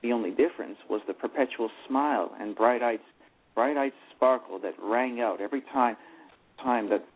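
A man's voice: medium pitch, moderate pace. A man narrating a live radio play, often stumbling and restarting his words. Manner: reads with frequent stumbles and restarts. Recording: tinny phone-line radio broadcast.

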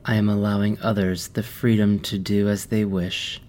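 A man hosting a guided meditation, speaking in calm, measured short phrases with pauses between instructions. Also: on the low side, background noise. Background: music playing behind the voice.

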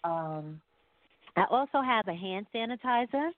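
A woman's voice: medium pitch, animated, measured pace, no room sound. A woman talking casually as an interview guest over a call. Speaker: guest, singer and entrepreneur. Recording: thin phone-like call audio.

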